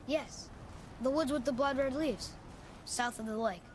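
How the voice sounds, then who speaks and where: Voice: high-pitched, unhurried. A young performer playing an eager, curious, talkative boy adventurer in a video game, earnest and inquisitive. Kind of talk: video game character acting. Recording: clearly noisy outdoor game ambience.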